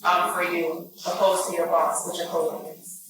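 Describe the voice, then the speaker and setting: A woman's voice: lowish voice, little pitch movement, normal speed. A woman in the audience at a live conference asking an informal question, feeling her way through the wording. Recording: very echoey, distant mic, crowd noise.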